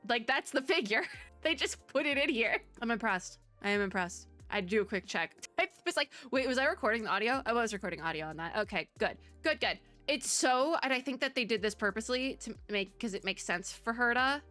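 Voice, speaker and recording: A woman giving casual, excited reaction commentary in a chatty, rambling and expressive way. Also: on the high side, a little fast, soft background music. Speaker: reaction video host.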